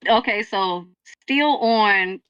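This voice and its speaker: A woman's voice: mid-range voice, takes it slowly, smooth, no room sound, highs cut off. A woman interviewer asking questions in a casual interview over a call, chatty and loose, often fumbling and restarting her questions.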